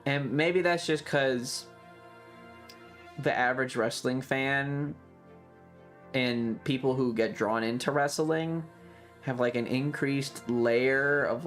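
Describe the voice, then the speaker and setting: A streamer with a mid-pitched voice talks casually over background music. The delivery rambles along, opinionated and emphatic, with swearing dropped in freely as they address a live audience. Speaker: livestreamer. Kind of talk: casual chat to a livestream audience.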